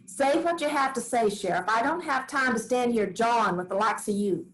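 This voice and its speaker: A woman reads a hard-bitten farm matriarch in a fairly high voice. She answers in short, curt lines full of scorn. She is defiant and will not give an inch in her own home. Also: lively intonation, moderate pace, fairly bright tone, rough recording. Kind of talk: staged play reading.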